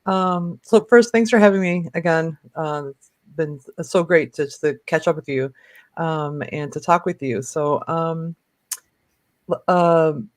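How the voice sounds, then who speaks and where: A woman around fifty, raised in Michigan, talks as an interview guest in a casual, halting storytelling way. Her speech is peppered with small filler phrases, and the sound is close and dry, without room echo. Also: sits low. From born in Lebanon, raised in Michigan. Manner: hesitant, peppered with "you know" and "like".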